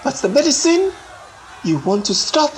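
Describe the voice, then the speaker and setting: A woman voicing a fierce, defiant resistance leader in a folktale, declaiming loudly and forcefully. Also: high-pitched, big pitch swings, moderate pace, a little hiss or hum, voice pushed up. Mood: defiant, angry.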